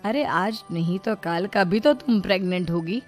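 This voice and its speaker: A woman's voice: mid-range voice, moderate pace, soft background music, natural voice. A woman voicing a shrewd, affectionate middle-aged mother-in-law who admonishes and coaxes in a moral-story cartoon. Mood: shrewd, firm. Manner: admonishing, coaxing.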